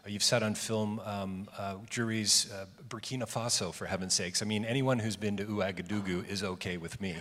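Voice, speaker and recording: A man's voice: on the low side, steady tone, brisk, few pauses, a bit bright. A man moderating a fireside chat, chatty and joking, stumbling over the start of his questions. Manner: chatty, joking, with stammered restarts. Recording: reverberant hall.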